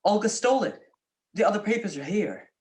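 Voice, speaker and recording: A man's voice: high-pitched, animated, a little fast. A man playing a wisecracking, easygoing private detective in a radio-style mystery, with a wry, offhand manner. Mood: wry, groggy. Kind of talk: 1940s radio detective acting.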